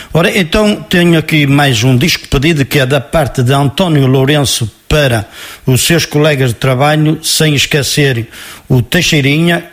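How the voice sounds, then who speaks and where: A man hosting a radio show, reading out song dedications in a rapid, chatty way with small restarts. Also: fairly high pitch. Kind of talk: casual live radio presenting. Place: radio studio.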